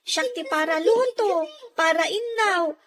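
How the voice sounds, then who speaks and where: A woman's voice: extremely high pitch, measured pace, a bit bright. A woman playing a meek, overworked young girl in an Ilocano radio drama, with an Ilocano accent and a plaintive, pleading manner.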